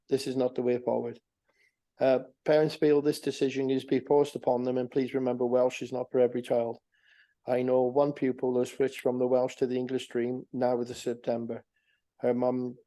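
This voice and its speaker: Flat, dark-voiced man reading a statement. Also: medium pitch. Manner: reads steadily with small stumbles and restarts. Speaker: council committee member. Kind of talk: reading a prepared statement at a council meeting. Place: council meeting.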